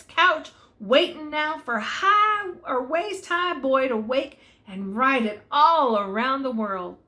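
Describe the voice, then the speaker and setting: An older woman giving an expressive, dramatic reading of a folksy Christmas story, savouring repeated phrases. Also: mid-range voice.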